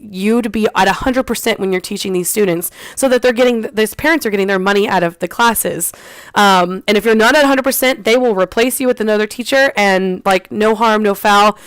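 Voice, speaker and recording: A woman's voice: medium pitch, clean tone, no room sound. A young woman, an online English teacher, chatting casually in a vlog, full of ums and restarts and light laughs. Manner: laughs lightly between phrases.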